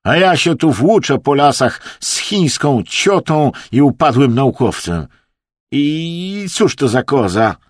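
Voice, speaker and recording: A man voicing a hysterical, drunken and mocking Russian hunter in an audiobook, with theatrical wailing and drawn-out exclamations. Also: on the low side, lively intonation, no echo, clean studio sound, exaggerated voice. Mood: mocking, exasperated.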